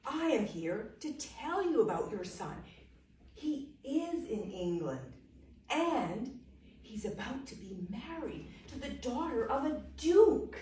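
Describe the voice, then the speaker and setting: A woman telling a story plays an eager young traveler who brags with relish, lively and given to laughter. Her voice carries from a distance through a very echoey room. A faint murmur lies behind it. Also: sits high, slow, a little hiss or hum, pitched above the performer's own voice, exaggerated voice. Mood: earnest. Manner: boastful, laughing, animated.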